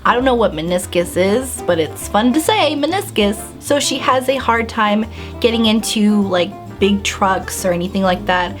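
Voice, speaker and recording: A young woman vlogger telling a story to the camera in a bubbly, animated way, filler-heavy and acting out her own reactions. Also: medium pitch, normal speed, faint music behind. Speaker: beauty and lifestyle vlogger. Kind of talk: casual storytime vlog.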